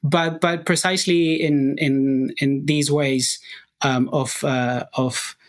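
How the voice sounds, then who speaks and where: A man's voice: a little high, fairly even intonation, takes it slowly. A young man, a Greek native speaker, explaining ideas as a podcast guest, thoughtful and full of fillers and restarts. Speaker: guest researcher and musician. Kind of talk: technical podcast interview.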